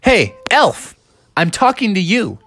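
A woman voicing an excitable little boy frog who talks in an earnest, insistent way. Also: mid-range voice, slow pace, a little hiss or hum, voice pushed up, full character voice.

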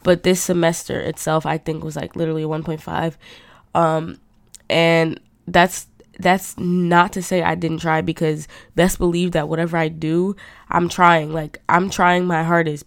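Young woman host, low-pitched, nasal and congested from a cold, casual rambling chat, dry close sound. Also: smooth, no echo. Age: young adult. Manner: rambling, chatty, lots of fillers. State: stuffed up from a cold. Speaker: podcast host. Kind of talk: casual monologue.

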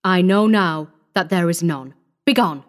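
A woman narrator plays a shabby old drunkard from a storybook. He is bitter and defiant. His words come out loud and blunt, like accusations thrown in someone's face. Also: fairly low pitch, measured pace, lightly acted. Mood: scornful, bitter.

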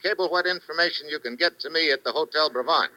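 An actor playing a wry, unflappable American foreign correspondent with an American accent in an old radio thriller. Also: male voice, fairly high pitch, fast, sharp tone, rough recording. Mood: cool, probing. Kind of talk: 1950s radio thriller acting.

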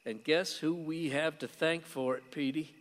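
A man's voice: on the high side, steady tone, unhurried, natural voice. An actor playing a plain-spoken, folksy older father in a radio play. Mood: frank, folksy. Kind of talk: stage-like radio play acting. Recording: reverberant hall-like room.

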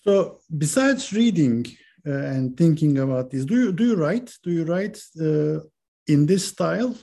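Male interviewer, mellow gravelly voice, brief prompting questions. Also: a little high, lively intonation, measured pace.

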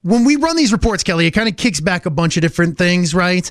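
A man co-hosting a radio show in a studio, chatting informally and explaining things step by step with lively banter. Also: sits high, fast talker, dry recording.

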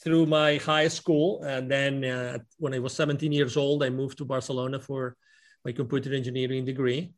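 A man's voice: medium pitch, flat delivery, moderate pace. Spanish-accented middle-aged man, hesitant. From Spain. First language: Spanish and Catalan. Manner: hesitant, many restarts. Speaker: guest. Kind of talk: casual interview answers.

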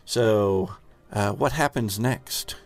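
A man narrating a tabletop roleplaying game as game master, in a halting, deliberate storytelling manner. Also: fairly low pitch, dry recording.

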